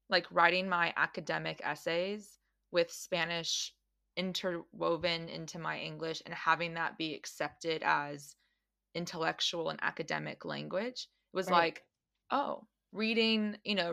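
A woman's voice: on the low side, vocal fry, bright, forceful tone. A woman hosting a podcast, talking in a thoughtful, casual way, with frequent restarts as she works through her ideas. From Mexican American. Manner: thoughtful, many restarts and fillers. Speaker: podcast host. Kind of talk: casual conversational interview. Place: Australia.

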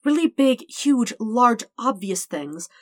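Woman acting lovestruck young man, flustered, wordy, indignant, raised pitch, dry storybook recording. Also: sing-song, takes it slowly, no echo, heavily acted. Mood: nervous rambling. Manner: rambling, indignant, wordy formal phrasing.

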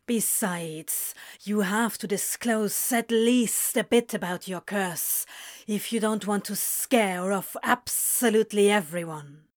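A woman's voice: medium pitch, slow pace, no echo, studio quality, lightly acted. A woman voicing a sly, hissing male serpent con artist in an audio drama, with a persuasive manner. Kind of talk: audio fiction character acting.

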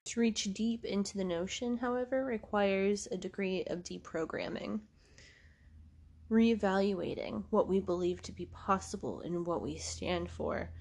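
A woman's voice: medium pitch, a little slow, darkish tone. A woman, hosting a podcast in a casual, rambling monologue, congested from allergies and laughing often. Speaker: podcast host.

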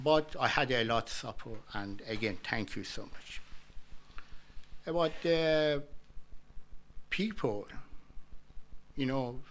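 A man guest in a conversational interview, speaking halting English as a second language in short broken phrases. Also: mid-range voice, rough recording. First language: not English.

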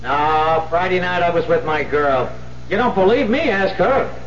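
An actor playing a cocky, defensive American small-time crook in a 1970s radio drama, streetwise and indignant. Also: male voice, high voice, animated, a little slow. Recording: muffled, hissy 1970s radio recording with hum.

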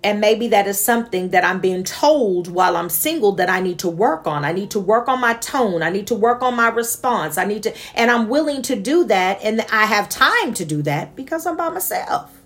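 A woman's voice: lively intonation, moderate pace, hardly any pauses, fairly bright tone. A woman talking earnestly and passionately about a dream and her faith, laughing now and then between points. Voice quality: naturally high-pitched voice. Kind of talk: reflective personal monologue.

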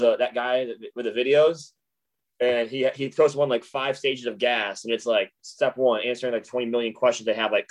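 Fast-talking chatty male podcast co-host. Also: mid-range voice, rough recording. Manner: chatty, rambling, eager and full of asides. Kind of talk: casual chat.

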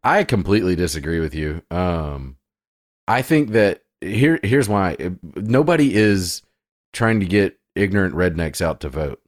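A man co-hosting a podcast in casual chat, blunt, crude and opinionated, with a deep voice. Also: expressive, measured pace, no room sound, clean studio sound. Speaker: podcast co-host.

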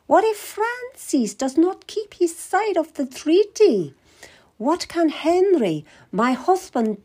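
A woman reading an audiobook voices a shrewd queen who plays meek, in a lilting, slow and sweetly deferential manner. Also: a little high, dark tone, slightly rough, voice pushed up, heavily acted. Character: regal queen, shrewd, feigning meekness. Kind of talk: audiobook character voice.

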